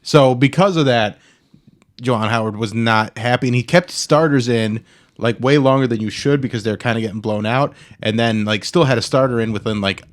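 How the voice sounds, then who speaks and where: A man co-hosting a podcast in casual sports chat, making his case in a halting way with repeated words. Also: mid-range voice. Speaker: podcast co-host.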